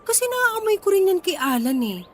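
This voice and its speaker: A woman's voice: extremely high pitch, very animated, moderate pace, a little hiss or hum. A woman playing a resentful young wife and mother in a Filipino radio drama, polite but needling, with a Filipino accent. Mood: accusing. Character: resentful young wife and mother, sarcastically sweet then confrontational.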